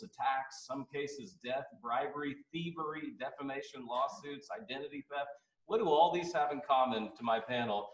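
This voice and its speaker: A man, hosting a live radio panel show and addressing listeners. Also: medium pitch. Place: classroom at a seminary, small audience. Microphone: desk microphone on boom arm. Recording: roomy echo, somewhat distant.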